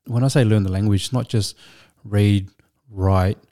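A man speaks as an interview guest. His voice sits a little low, with a soft, mellow tone. He talks in a measured, reflective way, pausing and restarting as he thinks. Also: fairly even intonation. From Australia. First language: Vietnamese. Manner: measured, thoughtful, with frequent restarts and fillers. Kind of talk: reflective storytelling.

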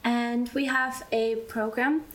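A young woman from Belgium talks about her mission school training. Her voice sits a little on the high side. She speaks slowly and thoughtfully, pausing and restarting as she finds the right words. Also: steady tone, fairly bright tone, faint music behind, poor audio. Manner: unhurried, searching for words, restarts. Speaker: interview guest, mission school student. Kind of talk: casual interview answers.

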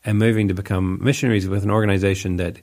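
A man's voice: on the low side, flat delivery, rapid-fire, darkish tone. A man in his forties from New Zealand with an accent mixing New Zealand, Northern Irish and American traces, telling stories as an interview guest in a chatty, self-deprecating way with frequent fillers. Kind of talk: casual conversational storytelling.

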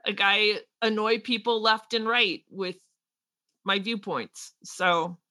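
A woman co-hosts a casual podcast, her voice bright and a little tense. She talks fast and animated, breaking into laughs and quick asides. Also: medium pitch. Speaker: podcast co-host. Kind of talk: casual political chat.

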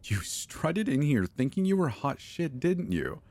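A man's voice: sing-song, a little slow, darkish tone, heavily acted. A man voicing a cruel, taunting teenage girl in a spoken horror story. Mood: sneering. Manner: mocking, shrill and sneering.

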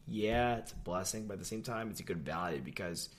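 Low-voiced young man, rambling and animated. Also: brisk. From New York. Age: young adult. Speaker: podcast guest. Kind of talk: casual chat.

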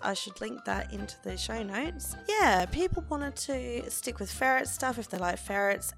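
Croaky, hoarse woman podcasting. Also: mid-range voice, a bit bright. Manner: informal, conversational. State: lingering hoarseness after laryngitis. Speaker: podcast host. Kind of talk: casual solo podcast talk.

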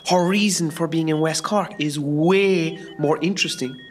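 A woman's voice: sits low, normal speed, faint music behind, natural voice. A woman with an Irish accent playing a catty, gushing, star-struck hospital worker in a comedy radio play. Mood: catty, gossipy.

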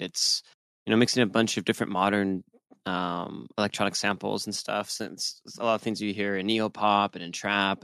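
A man co-hosting a music podcast, enthusiastic and blunt, swearing casually in relaxed chat. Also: lowish voice, clear, resonant tone, no room sound. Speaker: podcast co-host.

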